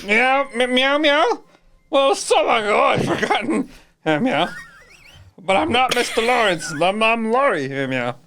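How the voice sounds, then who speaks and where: Woman as a stammering, meowing young gentleman cat. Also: fairly high pitch, lively intonation, unhurried, slight background noise. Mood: awkward, polite. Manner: hesitant, stammering, punctuated with meows. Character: awkward, polite young gentleman who keeps meowing like a cat.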